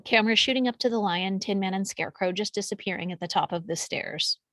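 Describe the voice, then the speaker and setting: A woman's voice: mid-range voice, fast talker, a bit bright, dry recording. A woman reading out screenplay action descriptions as scripted narration. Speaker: stage directions reader.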